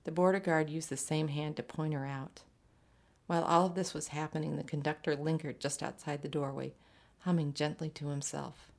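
A woman reading a literary short story aloud in a measured, scripted narration. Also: low-pitched, steady tone, vocal fry, a little mellow. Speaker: story reader.

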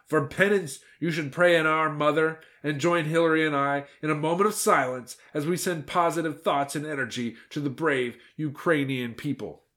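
Man does a commanding girl-boss heroine, raised pitch, solemn and authoritative. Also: fairly even intonation, moderate pace, few pauses, fairly bright tone, natural voice.

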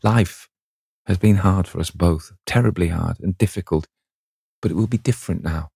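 A man's voice: deep voice, moderate pace, creaky, mellow, husky, no echo, studio quality, full character voice. A man voicing a young actress, dreamy and lovestruck, speaking in a breathless, rapturous way. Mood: coaxing.

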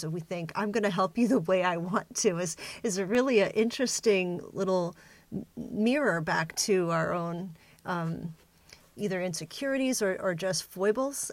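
A woman's voice: on the low side, no echo. A woman hosting a reflective interview, attentive and thoughtful, given to short murmured acknowledgements. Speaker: podcast host.

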